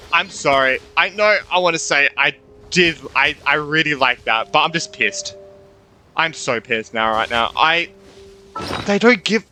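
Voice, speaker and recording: Bright, higher-pitched young man commentating on a game. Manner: rambling, informal, exclamatory, repeats himself. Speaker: gaming YouTuber. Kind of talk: casual playthrough commentary. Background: game music.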